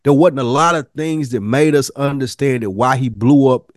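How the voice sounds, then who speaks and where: A man's voice: mid-range voice, normal speed, no room sound, studio quality. A man co-hosting a review podcast, making points in emphatic, slangy casual talk and repeating phrases for stress. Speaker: podcast co-host reviewing a TV drama. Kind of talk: casual chat.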